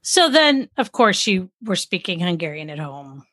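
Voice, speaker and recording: A woman's voice: on the low side, big pitch swings, moderate pace, a bit bright, dry recording, clean studio sound. A woman hosting an interview, friendly and enthusiastic, with short affirming reactions. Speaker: interview host.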